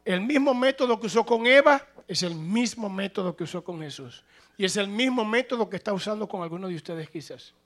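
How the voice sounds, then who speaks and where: A male pastor preaching a sermon, moving between plain conversational talk and loud, shouted passages. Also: sits high, animated, a little fast.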